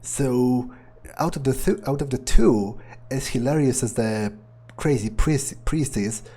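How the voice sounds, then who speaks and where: A man playing a cynical, foul-mouthed vampire in an improvised game roleplay, husky and halting, muttering scornfully. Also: medium pitch, warm tone. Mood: brooding, dismissive. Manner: halting, muttering, profane, sardonic.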